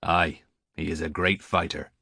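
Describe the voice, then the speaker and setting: A man's voice: sits low, little pitch movement, unhurried, sharp tone, no echo, clean studio sound, full character voice. A man voicing a superstitious old male sailor on a harbour beach, stiff and scornful in manner.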